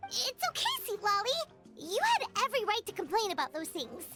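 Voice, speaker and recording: An actress playing a tiny, childlike, chirpy and blunt fairy companion in a video game. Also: female voice, very high voice, sing-song, a little fast, music in the background. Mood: reassuring.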